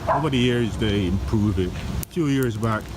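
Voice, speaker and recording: A man's voice: fairly low pitch, moderate pace. A man from Jamaica, chatting in a loose, rambling way as he films a walk through a city park on a handheld camera. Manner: rambling, relaxed, lots of fillers. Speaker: vlogger. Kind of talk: casual walk-and-talk vlog. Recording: outdoor camera audio with loud background noise.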